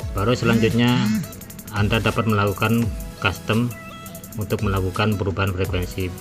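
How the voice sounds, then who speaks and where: A man giving a measured, step-by-step tutorial voice-over as a gadget reviewer. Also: on the low side, flat delivery. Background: background music.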